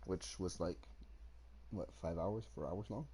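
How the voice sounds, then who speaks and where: A man's voice: deep voice, unhurried, warm tone, slight background noise. A man chatting casually on a game livestream, offhand and slangy. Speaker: game streamer.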